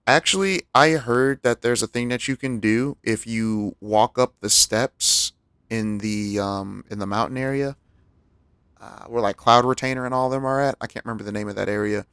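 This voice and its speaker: A man gives casual playthrough commentary in a fairly low voice with plenty of fry. He reads aloud haltingly and wanders into asides. The sound is dry and close, with soft game music behind. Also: no echo. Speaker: game streamer.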